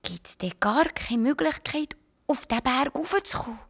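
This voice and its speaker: Casting: excitable cheeky little creature, high and loud, Swiss German, voiced by a woman. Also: measured pace, vocal fry, breathy edge, exaggerated voice. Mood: indignant. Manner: exclamatory, bossy and bubbly. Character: small eager excitable creature, bold and cheeky. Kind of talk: children's storytelling.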